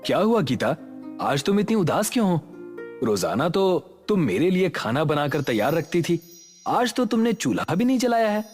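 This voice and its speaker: Kindly village husband, male actor: poor but hopeful, expressive, highish voice. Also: moderate pace, soft background music. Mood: concerned.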